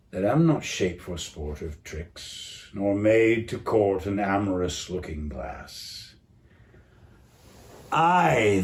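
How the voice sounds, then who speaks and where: A man's voice: fairly low pitch, full character voice. A man acting a bitter, scheming, misshapen royal villain in a brooding, theatrical Shakespearean stage monologue. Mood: bitter, seething.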